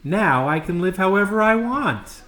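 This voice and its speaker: A man's voice: sits high, animated, a little slow, fairly bright tone, pitched above the performer's own voice. A man voicing an easygoing young boy in a storybook, with plain, emphatic delivery. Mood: delighted. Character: easygoing young boy with a messy room, dramatic. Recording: echoey room with faint background noise.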